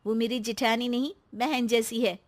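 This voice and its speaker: A woman voicing a sweet, loyal young housewife in a family story, polite and earnest as she gently protests. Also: fairly high pitch, fairly even intonation, normal speed, close to an everyday voice. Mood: gentle, loyal.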